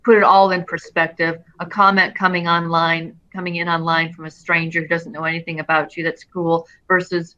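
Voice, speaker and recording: A middle-aged woman talking as an interview guest in an earnest, expressive way, often restarting her phrases. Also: on the low side, a little fast, hardly any pauses, lo-fi sound. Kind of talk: casual interview conversation.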